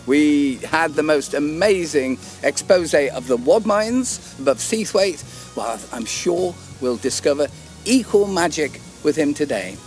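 A man co-hosting a walking podcast outdoors in a valley, hoarse from a summer cold, chatty and affirming. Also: fairly high pitch, expressive, unhurried, darkish tone, soft background music. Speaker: podcast co-host.

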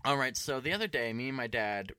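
A man's voice: medium pitch, expressive, quick pace, bright, forceful tone, clear, resonant tone, dry recording. A young man hosting a podcast, rambling through a story with lots of "like" and some swearing. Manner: profane, filler-heavy, lots of "like". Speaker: podcast host.

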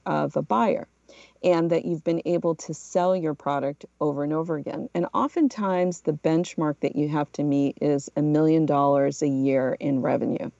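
A middle-aged woman from Portugal hosting a solo podcast, telling her story in a measured, reflective way. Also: sits low, vocal fry, mellow, dry recording, dull audio. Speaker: podcast host.

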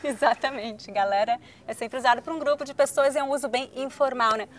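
High-voiced brazilian woman teaching. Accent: Brazilian Portuguese. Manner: slow, clearly spelled-out words for learners. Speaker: host. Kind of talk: casual language lesson chat.

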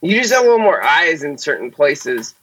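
A man's voice: fairly high pitch, sing-song, a little fast, fairly bright tone, breathy edge, poor audio. A man who hosts a podcast and plays drums, explaining at length in a rambling, casual way. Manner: rambling, explanatory, with fillers and laughs. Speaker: drummer and podcast host.

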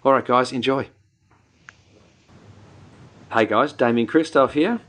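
A man with a New Zealand accent hosting a podcast, chatting in a relaxed, rambling way with many fillers. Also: medium pitch, takes it slowly. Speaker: podcast host. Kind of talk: casual podcast chat.

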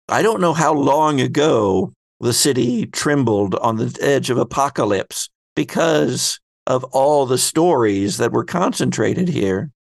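A man voicing a secretive librarian woman, torn and in hiding, who speaks formally and in measured phrases in a tabletop game. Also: fairly high pitch, creaky, no room sound, heavily acted.

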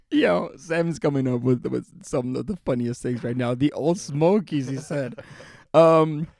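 A man in his late twenties co-hosting a podcast, chatting casually and excitably, laughing and swearing often. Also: a little high, takes it slowly, vocal fry. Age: 20s. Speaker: podcast co-host. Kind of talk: casual chat.

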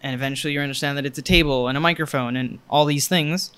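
A young adult man whose first language is Spanish, talking in a relaxed, thoughtful way and explaining with examples. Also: a little high, fast, smooth. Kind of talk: casual conversation.

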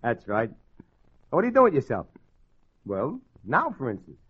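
A man's voice: mid-range voice, huge pitch swings, moderate pace, breathy edge. A man playing a cocky, wisecracking small-time gangster and ladies' man in a 1940s radio crime drama, with slangy tough-guy talk. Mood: casual, lively. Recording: muffled old radio broadcast.

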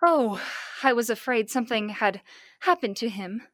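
A woman's voice: fairly high pitch, animated, moderate pace, fairly bright tone, no echo. A woman playing a concerned, inquisitive young adventurer in an old-time radio drama, earnest and questioning. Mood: alarmed.

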